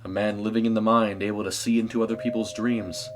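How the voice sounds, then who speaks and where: A man reading a scripted eerie story as narrator, in a measured, dramatic storytelling manner. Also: fairly low pitch, little pitch movement.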